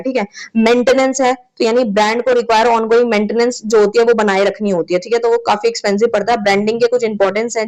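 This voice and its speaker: A woman's voice: medium pitch, darkish tone, poor audio. A woman teaching an exam-prep lecture in a chatty way, often checking in with her students, her voice a little sore. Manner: chatty, repeats "theek hai" often. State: sore throat.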